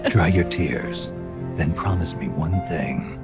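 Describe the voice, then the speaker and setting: An actor playing a gruff, stoic middle-aged soldier in a video game cutscene, terse and fatherly, with a husky growl. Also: heavily acted. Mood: stern, resolute. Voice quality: deep, gravelly, husky growl. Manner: terse, measured, low-key delivery. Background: music.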